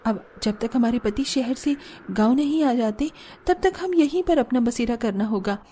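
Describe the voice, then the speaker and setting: Casting: actress as anxious young village wife for a cartoon, high, mellow, earnest. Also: female voice, lively intonation, normal speed, slight background noise, close to an everyday voice. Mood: worried. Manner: earnest, plaintive. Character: distressed young village daughters-in-law, pleading and worried. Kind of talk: cartoon drama acting.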